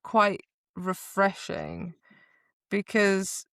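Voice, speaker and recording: A woman's voice: medium pitch, extremely slow, no room sound, clean studio sound. A woman co-hosting a podcast, retelling a book casually and expressively, slipping into dialogue as she goes. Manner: acts out dialogue, informal and expressive. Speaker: podcast co-host.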